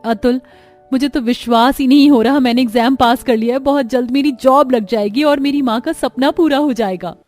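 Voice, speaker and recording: A woman's voice: sits high, fairly quick, a little mellow, faint music behind, voice pushed up, lightly acted. A woman voicing an earnest, outspoken young female student in a cartoon story. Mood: excited.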